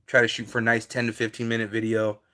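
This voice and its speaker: A man's voice: fairly low pitch, completely flat delivery, quick pace, bright, forceful tone. A man, hosting a casual live stream and answering his viewers' chat in a laid-back way, with lots of fillers.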